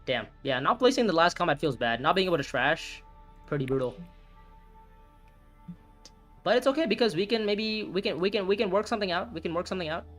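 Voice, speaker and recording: Early-20s guy streaming a board game, kinda high voice, super bouncy and reactive, faint music behind. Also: fairly quick, pauses often. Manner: excitable, talks through moves, reacts loudly, swears. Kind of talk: live gameplay commentary, thinking aloud.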